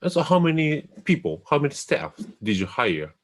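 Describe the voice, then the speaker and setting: A man from Japan, a native Japanese speaker with a Japanese accent, hosting a casual talk in English. He often pauses to search for the right English word. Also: on the high side, very animated. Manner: hesitant, searching for English words. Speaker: host. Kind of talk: casual chat in a second language.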